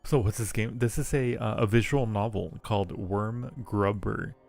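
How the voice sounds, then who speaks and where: Creaky-voiced man chatting on livestream. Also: lowish voice, steady tone, normal speed, darkish tone. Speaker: livestream host. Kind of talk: casual livestream chat.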